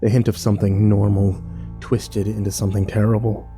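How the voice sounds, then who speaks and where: A man's voice: fairly low pitch, little pitch movement, a little fast, warm tone, natural voice. A man playing a shaken, bitter survivor who tells his own story in a grim horror audio drama. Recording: music bed under the voice.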